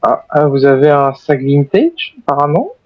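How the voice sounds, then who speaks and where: A man role-playing a courteous zombie survivor in a game, with formal phrasing and hesitant pauses. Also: a little high, sing-song, no echo, highs cut off. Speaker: video game role-player. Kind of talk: improvised in-character game chat.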